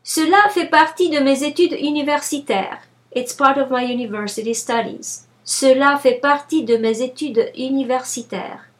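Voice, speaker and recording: A woman hosting a language lesson podcast, delivering scripted phrases in a deliberate, clearly separated way. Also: sits high. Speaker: language teacher and podcast host.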